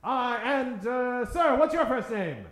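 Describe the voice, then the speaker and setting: A man's voice: very high voice, expressive, takes it slowly, fairly bright tone. A man hosting a live improv show in mock old-time radio style, theatrical and tongue-in-cheek, addressing a theater audience. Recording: roomy, echoing theater stage.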